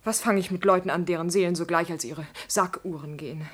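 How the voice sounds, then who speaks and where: Husky, passionate noblewoman. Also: on the low side, fairly even intonation, brisk. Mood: bitter yearning. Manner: theatrical, intense, breathy outbursts. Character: passionate noblewoman, mistress of a prince, proud and agitated. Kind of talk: classical German stage drama acted for radio.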